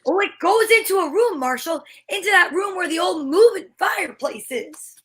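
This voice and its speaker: A woman playing an eager, folksy boy sidekick in an old-time radio serial, with an excitable gee-whiz delivery. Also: very high-pitched, normal speed.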